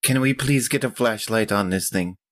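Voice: mid-range voice, normal speed. A player in a tabletop role-playing game, talking casually through dice rolls and moves.

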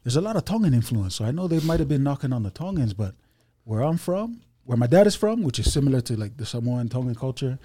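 Laid-back chatty man, mellow voice. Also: mid-range voice, very animated, fast talker, few pauses, husky, no room sound. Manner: laid-back, chatty, many fillers and asides. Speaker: podcast guest. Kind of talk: casual podcast chat.

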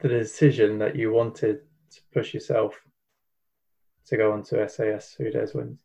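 A man's voice: lowish voice, little pitch movement, normal speed, vocal fry, dark tone, husky. A man interviewing a guest over a video call, asking questions. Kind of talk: conversational interview. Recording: dull lo-fi audio.

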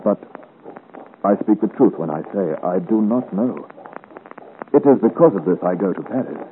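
Man acting young adventurer, deep voice, courtly period speech, 1950s radio drama, narrow sound with background noise. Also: lively intonation, moderate pace, close to an everyday voice. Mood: earnest. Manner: earnest, courtly, formal period diction. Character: earnest, gallant young swordsman of great size, seeking his father's name. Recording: muffled, hissy old radio serial recording.

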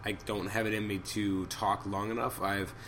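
A man hosting a podcast of off-the-cuff reviews, his voice a little hoarse and raspy from a cold, thinking aloud in a halting way. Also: on the low side, flat delivery, a bit bright, slight background noise. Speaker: podcast host. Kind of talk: unscripted comic book review commentary.

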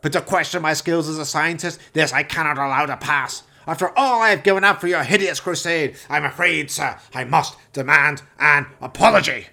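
A man's voice: on the high side, normal speed, gravelly, few pauses, bright, forceful tone, pitched above the performer's own voice, heavily acted. A man playing a crazed, grandiose mad scientist in a comic horror radio play, ranting theatrically and indignantly. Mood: indignant fury.

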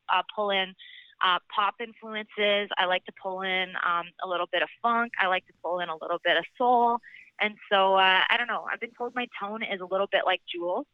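Clear-voiced Canadian woman, slightly dull audio. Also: medium pitch, no room sound. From Alberta, Canada. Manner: chatty, informal, frequent "like" and "you know". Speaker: country singer-songwriter, podcast guest. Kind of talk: casual interview chat.

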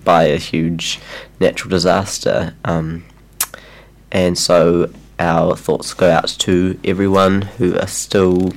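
A young New Zealand man hosts community radio, chatting loosely with plenty of ums and easy laughs. His voice sits very low and has a gravelly, fried edge, worn by a lingering cold. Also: little pitch movement, slow pace. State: recovering from a cold. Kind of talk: casual radio chat.

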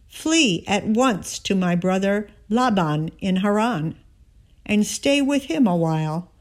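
A woman reading the words of a protective mother in a scripture story, urgent yet deliberate. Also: medium pitch, a little mellow, voice pushed up. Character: protective, urgent mother advising her son.

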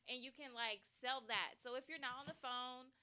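Animated woman guest, slangy, very bright tone. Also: high-pitched, moderate pace, clear, resonant tone. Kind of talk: casual group chat.

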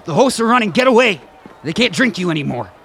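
A man's voice: high-pitched, sing-song, fast, a bit bright, slightly rough, heavily acted. An actor playing a deranged, paranoid middle-aged father in a radio drama, raving feverishly about invisible creatures. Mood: panicked shouting. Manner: agitated, frantic, paranoid.

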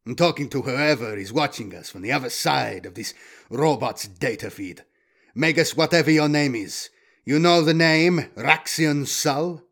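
A tough male bounty hunter in an audiobook, as a man narrator plays him. He is sardonic and taunting, with a deliberate, threatening delivery at a middling pitch. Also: animated, vocal fry, fairly bright tone, full character voice. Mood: hard, deliberate. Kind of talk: audiobook character voice.

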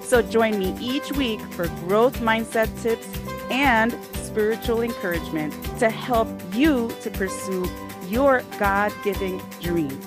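A woman's voice: on the high side, slow, over music. A woman hosting a podcast, speaking with enthusiasm and a faith-centered focus, recorded on a close mic. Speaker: podcast host. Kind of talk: warm podcast interview.

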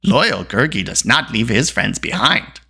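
A storybook reader voicing a timid yet boastful, loyal creature with an excitable, pleading manner. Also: medium pitch, measured pace, gravelly, husky, heavily acted. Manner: excitable, pleading, self-praising.

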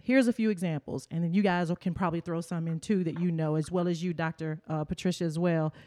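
A woman's voice: on the low side, steady tone, quick pace, a little mellow. A woman hosting a panel discussion, explaining terms plainly and then asking her guests questions. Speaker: podcast host.

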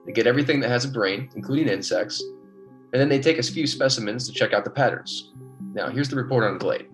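An actor playing an earnest, wryly patient colony biologist in an American golden age radio drama, speaking in a measured, explanatory way. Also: male voice, medium pitch, faint music behind, lightly acted. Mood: matter-of-fact, wry. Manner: measured clinical dictation alternating with easygoing explanation.

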